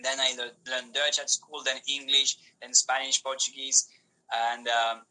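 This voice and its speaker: A man whose first language is Polish, talking as a podcast guest in an animated way, with many restarts and fillers. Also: mid-range voice, unhurried, rough recording. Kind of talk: casual conversation.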